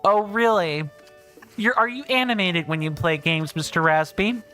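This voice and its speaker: A man livestreaming a game, chatting casually and reading out viewer comments, with a big booming voice. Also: moderate pace, sharp tone, soft background music. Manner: chatty, thinks aloud, reads viewer comments. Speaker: streamer. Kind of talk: casual gameplay livestream chat.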